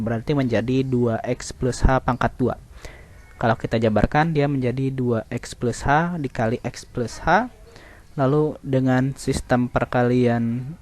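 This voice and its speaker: A man's voice: medium pitch, a little hiss or hum. Methodical man teaching. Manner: methodical, explanatory. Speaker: mathematics teacher. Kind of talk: step-by-step lesson narration.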